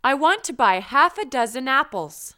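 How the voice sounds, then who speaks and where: Woman, high bright voice, slow careful drill reading, language lesson. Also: very animated. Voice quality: careful, clearly enunciated. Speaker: language teacher. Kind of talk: slow drill sentences for learners.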